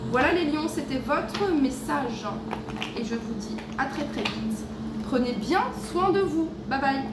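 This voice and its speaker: A woman's voice: sits high, roomy sound. Chatty woman reading tarot cards at home. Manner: chatty, many fillers, reads card texts slowly. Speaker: tarot reader. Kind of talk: casual tarot reading to camera. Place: her home. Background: cleanup noise outside.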